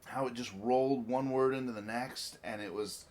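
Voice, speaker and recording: A man's voice: medium pitch, fairly even intonation, moderate pace, a little mellow. An American man hosting a casual chat, speaking bluntly and swearing freely. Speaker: podcast host.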